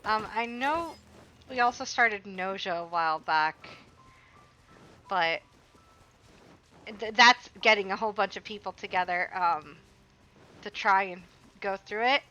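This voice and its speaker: Woman streamer, bright crisp tone, rambling casual chat over gameplay. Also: medium pitch, measured pace, pauses often, poor audio. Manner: rambling, talks back to the game as she plays. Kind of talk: casual chat while gaming.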